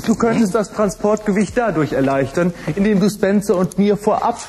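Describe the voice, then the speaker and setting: A man's voice: sits high, expressive, normal speed, darkish tone, a little hiss or hum. An actor playing a pedantic know-it-all male puppet who speaks in stilted formal wording, in a children's radio play.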